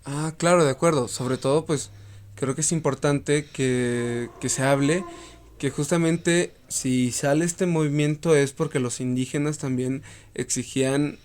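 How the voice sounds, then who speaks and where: A man hosting an interview, greeting the audience and asking questions in a relaxed conversational way with fillers. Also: on the high side, unhurried. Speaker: interview host. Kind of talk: casual interview.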